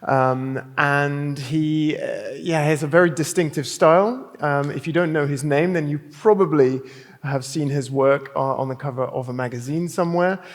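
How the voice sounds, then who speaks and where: A man's voice: fairly high pitch, moderate pace. A man hosting an event, giving a casual stage introduction full of ums. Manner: casual, filler-heavy. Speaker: event host. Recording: long hall reverb.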